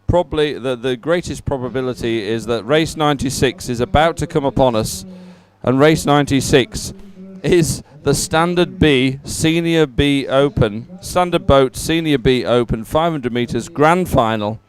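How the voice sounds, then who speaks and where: A man co-hosting live sports coverage, explaining things in a measured way. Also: medium pitch. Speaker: sports commentator and co-host. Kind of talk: live sports broadcast commentary.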